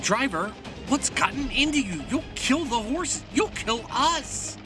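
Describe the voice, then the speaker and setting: An actor playing an anxious traveler in an audio drama, questioning nervously and insistently. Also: male voice, very high voice, expressive, unhurried, far from the mic. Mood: panicked demanding. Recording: off-mic voice with music behind.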